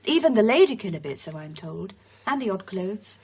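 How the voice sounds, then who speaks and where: A grave, articulate British widow in a radio drama. Her voice sits at a middle pitch with a husky edge, and her intonation is lively as she recounts things carefully. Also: moderate pace. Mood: measured, grave.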